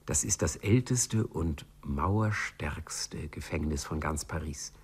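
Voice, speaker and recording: A man's voice: very deep voice, animated, takes it slowly, breathy edge, exaggerated voice. A man narrating a 1950s German radio play as a wry literary storyteller.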